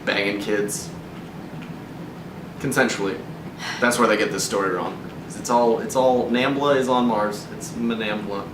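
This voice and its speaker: A man's voice: on the low side, fairly even intonation, a little slow, long pauses, distant mic. A young man co-hosting a casual chat, talking in a wry, irreverent, sweary way. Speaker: co-host. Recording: echoey noisy room, off mic.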